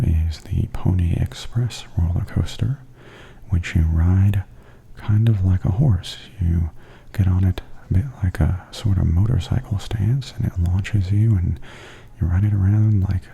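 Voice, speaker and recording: A man giving softly spoken, gently wry ASMR commentary as a tour guide, in a hushed, breathy half-whisper. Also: sits low, a little slow. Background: theme park haunted attraction ambience.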